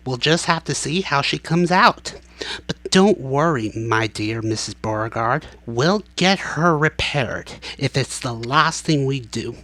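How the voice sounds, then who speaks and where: Woman voicing an eccentric male inventor, rueful, soft, sighing. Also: rumbling low, no room sound, heavily acted.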